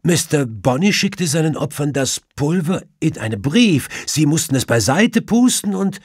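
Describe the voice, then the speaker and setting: A man's voice: on the high side, animated, moderate pace, a little mellow, husky, no echo, clean studio sound, pitched above the performer's own voice. A man voicing a clever, self-assured male detective who explains things precisely in an audiobook. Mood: assured explaining. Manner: precise, explanatory, faintly mischievous.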